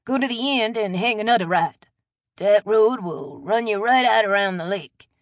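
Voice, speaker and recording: A woman's voice: mid-range voice, moderate pace, no echo, studio quality, lightly acted. A woman voicing a friendly old Cajun man with a folksy Louisiana drawl in an audiobook. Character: friendly old Cajun man, weathered bait-shop regular. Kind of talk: audiobook character voice.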